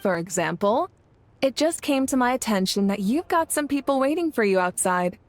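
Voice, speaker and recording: Brief: actress as a composed game ally, relaxed and reassuring. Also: female voice, a little high, normal speed, close to an everyday voice. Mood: calm. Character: calm, capable woman who helps the heroes. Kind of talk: video game voice acting.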